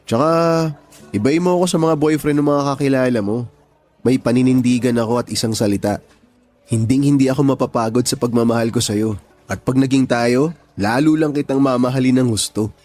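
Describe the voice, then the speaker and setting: A man's voice: mid-range voice, a little fast, darkish tone, faint music behind, natural voice. A man playing a devoted, sweet-talking young suitor in a Filipino radio love drama, earnest and reassuring.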